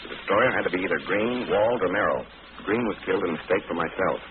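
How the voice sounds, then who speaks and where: An actor playing a shrewd, confident gentleman detective in a vintage radio drama, brisk and assured. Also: sits low, close to an everyday voice. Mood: assured, serious. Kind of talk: 1940s radio drama acting. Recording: noisy, muffled vintage radio recording.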